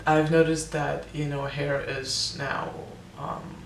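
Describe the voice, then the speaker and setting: A man's voice: on the high side, little pitch movement, slow, gravelly, reverberant, recorded from a distance, slight background noise, poor audio. A young man giving a casual, hesitant personal update, full of ums and restarts, his voice sometimes cracking with air breaking through. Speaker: vlogger.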